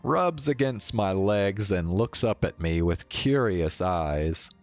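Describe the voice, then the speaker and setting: A man's voice: lowish voice, expressive, slow, soft background music. A man, a streamer reading a visual novel aloud with casual commentary.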